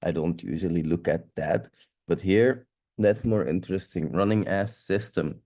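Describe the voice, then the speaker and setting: A man's voice: sits low, normal speed, mellow. A man with a stuffy nose giving a loose, rambling live walkthrough of a tech tool as the instructor, swearing now and then. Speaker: security instructor.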